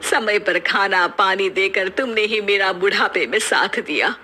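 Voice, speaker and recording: A woman's voice: medium pitch, unhurried, sharp tone, a little hiss or hum, voice pushed down. A woman voicing an elderly Indian mother-in-law, curt yet affectionate, in a moral cartoon story. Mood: tender, grateful.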